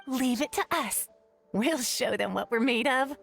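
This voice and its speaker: A woman's voice: fairly high pitch, unhurried, gravelly, fairly bright tone, soft background music. A woman playing a confident, boastful young hunter in a fantasy video game.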